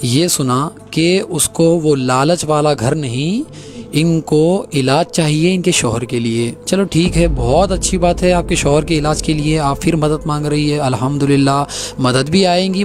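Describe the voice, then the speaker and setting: A man with a Hyderabadi accent speaks in a somewhat high voice. He addresses his viewers emphatically and accusingly, repeating phrases for weight. Music plays behind him. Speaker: host of a clarification video.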